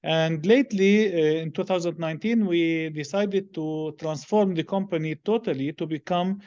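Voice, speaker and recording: Male interview guest, middle-aged, Lebanese accent, halting delivery, dull audio. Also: on the high side, a little mellow. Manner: halting, measured phrasing with many hesitations. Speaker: interview guest, business executive. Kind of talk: unscripted interview answers.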